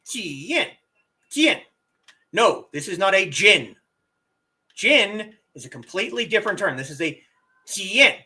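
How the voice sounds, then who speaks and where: A middle-aged martial arts teacher chatting casually on a live stream, reading viewer comments and sounding out words with animated emphasis. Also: mid-range voice. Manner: animated, drawn-out demonstrations of word sounds.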